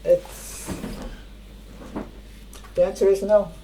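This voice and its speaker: An older woman whose first language is Hungarian speaks in a dark, mellow voice. She gives her testimony haltingly, pausing to search for words, with faint ambient noise behind her. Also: mid-range voice, flat delivery, rough recording. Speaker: interviewee. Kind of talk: spoken testimony.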